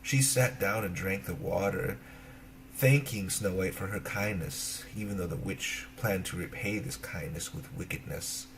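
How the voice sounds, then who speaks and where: A man narrating a children's fairy tale as an expressive storyteller, in simple phrases. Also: low-pitched, unhurried, vocal fry, a little hiss or hum, low-quality recording.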